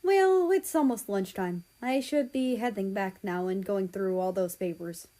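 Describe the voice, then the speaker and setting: A woman voicing a soft-hearted, hesitant man who loves his dog, in a story reading. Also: medium pitch, measured pace, lightly acted. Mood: casual.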